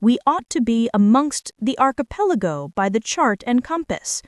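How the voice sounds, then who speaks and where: A woman's voice: fairly high pitch, sing-song, moderate pace, no room sound, clean studio sound. A woman voicing a peremptory, bad-tempered male ship's captain in a children's storybook. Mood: commanding, irritable. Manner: peremptory, brief commands.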